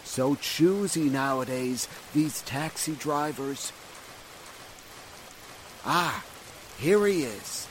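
Man acting a slow, polite doorman, rainy noise. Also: mid-range voice, expressive. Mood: obliging. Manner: polite, servile. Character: deferential, chatty male doorman. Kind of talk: old-time radio drama acting.

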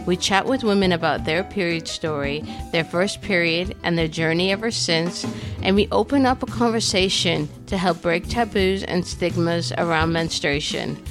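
A woman's voice: on the low side, normal speed, music in the background. A woman podcast host giving a rehearsed show opening. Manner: smooth, rehearsed.

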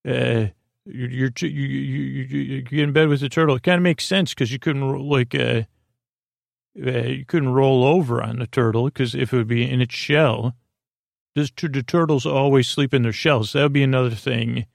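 A man speaks in a gravelly, creaky voice, close and dry with hardly any room sound. He hosts a bedtime podcast as a rambling, improvised monologue. His delivery is soothing and halting, wandering off into tangents. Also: medium pitch, fairly even intonation, no echo. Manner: meandering, halting, trails off into tangents; soothing, lulling. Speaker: podcast host.

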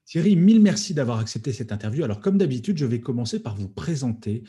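A man's voice: mid-range voice, sing-song, fast talker, warm tone, breathy edge. A man in his forties hosting a podcast interview, enthusiastic and a little theatrical. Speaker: podcast host.

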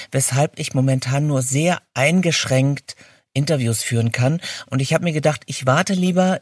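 A man's voice: medium pitch, breathy edge, no room sound, studio quality. A man hosting a podcast, chatting informally and addressing his listeners directly as he introduces and conducts interviews.

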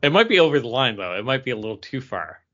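Male podcast co-host, sharp bright tone, medium pitch, informal but emphatic. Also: very animated, quick pace. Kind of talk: casual conversational chat. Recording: dull, lo-fi audio.

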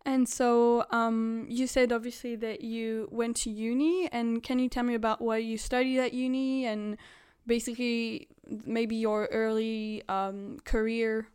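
Higher-pitched woman hosting a podcast. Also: flat delivery, a little slow, a little mellow, clear, resonant tone. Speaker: podcast host and interviewer. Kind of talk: conversational podcast interview.

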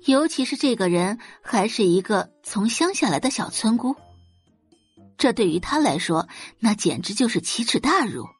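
A woman narrates a serialized audio novel with expressive, lively intonation. Her voice is husky and the recording dry, with faint music underneath. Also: fairly high pitch, normal speed. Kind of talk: scripted audio-drama narration.

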